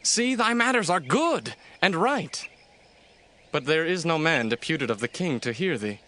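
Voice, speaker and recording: A smooth, ingratiating young prince in a dramatized scripture drama, his voice high and swinging up and down as he coaxes. The tone is bright and clear, and soft music plays under him. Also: sing-song, unhurried, a little hiss or hum, heavily acted. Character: ambitious, persuasive young prince, male.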